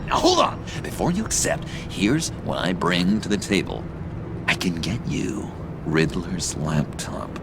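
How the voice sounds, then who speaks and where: Manic, wildly swooping starstruck oddball. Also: male voice, low-pitched, huge pitch swings, measured pace, vocal fry, noisy background, full character voice. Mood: eager, scheming. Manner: stammering, theatrical, eager. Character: excitable, fawning, nervous young male oddball superfan with a manic laugh. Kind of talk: video game voice acting. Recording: music behind the voice.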